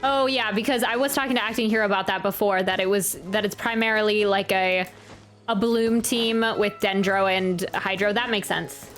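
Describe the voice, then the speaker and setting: A woman's voice: mid-range voice, fairly even intonation, moderate pace, a bit bright. A woman game streamer chatting casually as she reacts, rambling and stammering while thinking aloud. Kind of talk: casual gameplay reaction chat. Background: faint game music.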